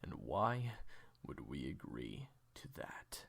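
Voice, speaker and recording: A man playing an angry, defiant hero in an audio drama, with a gravelly, raspy voice and an intense, bitter manner. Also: rumbling low, big pitch swings, slow pace, a bit bright, exaggerated voice. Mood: grim defiance. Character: angry, defiant male hero facing his enemy. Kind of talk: audio roleplay drama acting.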